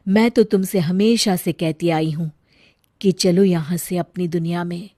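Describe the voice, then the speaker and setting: Lady doing a tender ghost mom from a cartoon, coaxing and slow, very dry sound. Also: on the low side, steady tone, warm tone, no echo. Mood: comforting. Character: gentle, comforting spirit of a dead mother. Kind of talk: Hindi moral-story cartoon acting.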